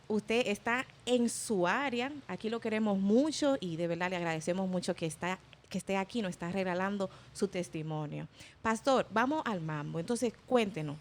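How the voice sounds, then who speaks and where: A woman's voice: medium pitch, moderate pace. A woman hosting a faith interview, encouraging her guest and reacting with frequent exclamations. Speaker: interview host.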